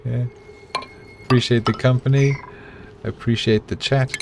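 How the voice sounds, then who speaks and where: A man's voice: mid-range voice, a little slow, creaky, background noise. A middle-aged man with a South African accent, chatting with his live chat as he plays a colony-building game. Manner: relaxed, chatty, talks to himself while building. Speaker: game streamer. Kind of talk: live gameplay commentary with chat.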